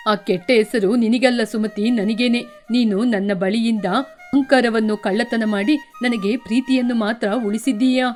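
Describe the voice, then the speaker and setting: Woman doing a sorry rich guy, low and heavy, begging forgiveness, bit of music behind. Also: fairly even intonation, measured pace, a little mellow, natural voice. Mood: remorseful. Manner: heavy, regretful pleading. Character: remorseful proud wealthy middle-aged man.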